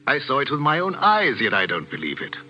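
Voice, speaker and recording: A proper, fretful country parson in an old radio play. His tone is very bright and forceful and swings up and down, on a dull, vintage recording. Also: mid-range voice, huge pitch swings, brisk, full character voice. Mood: troubled, hesitant. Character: troubled, earnest English village vicar, a father. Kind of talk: 1940s radio drama acting.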